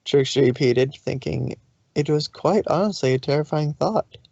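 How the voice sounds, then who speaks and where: A woman's voice: low voice, moderate pace, creaky, warm tone, no room sound, highs cut off. A woman reading scripted story narration as the narrator of a fan fiction.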